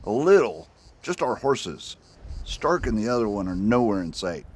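A man playing a determined U.S. marshal in an amateur radio drama, steady and plain-spoken. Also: lowish voice, moderate pace, husky. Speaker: voice actor.

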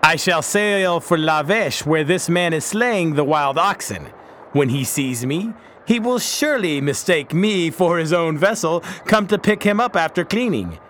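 Reformed pirate captain, radio play, male actor: earnest, pious, blunt, slightly raised pitch. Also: expressive, measured pace, fairly bright tone, a little hiss or hum. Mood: determined. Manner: blunt, solemn, formal old-fashioned speech. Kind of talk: radio drama acting.

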